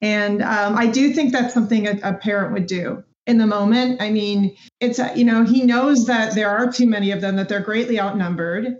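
A woman's voice: mid-range voice, normal speed, few pauses. A woman chatting casually as a participant in a panel discussion. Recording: echoey room, dull lo-fi sound.

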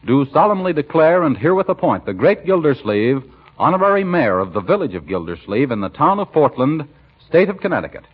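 Animated American 1940s radio announcer, slightly husky. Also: male voice, medium pitch. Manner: polished, emphatic salesmanship. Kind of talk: 1940s scripted radio announcing and sponsor pitch. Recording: muffled vintage 1940s radio recording.

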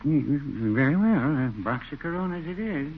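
An actor playing a mild older shopkeeper in a 1940s radio comedy, hesitant, understated and dryly sly. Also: male voice, fairly high pitch, animated, normal speed, phone-quality sound, natural voice. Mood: mildly obliging. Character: mild, sly, noncommittal older male small-town shopkeeper. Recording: scratchy, band-limited 1940s radio broadcast.

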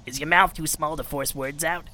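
A man's voice: on the high side, steady tone, normal speed, bright, forceful tone, pitched above the performer's own voice. A man voicing a cruel, sneering male kobold in a storybook reading, hissing out insults and threats. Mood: taunting. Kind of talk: audiobook character voice.

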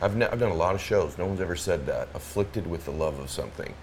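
A man's voice: low-pitched, expressive, quick pace, a little mellow, slight background noise. A man hosting an interview, reacting eagerly and enthusiastically to his guest. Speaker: interview host. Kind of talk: casual interview chat.

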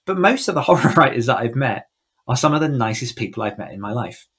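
A man's voice: mid-range voice, very animated, quick pace, a bit bright, breathy edge. Man in casual, reflective interview chat. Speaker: podcast guest, horror author.

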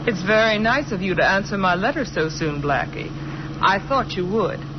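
Woman acting calculating female schemer, gangster's widow, American, flirtatious, mocking, animated melody, 1940s radio acting, dull noisy recording. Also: medium pitch, moderate pace, natural voice. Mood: coy, coaxing. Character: sly, flirtatious, hard-edged gangster's widow. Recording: muffled old radio recording, steady noise.

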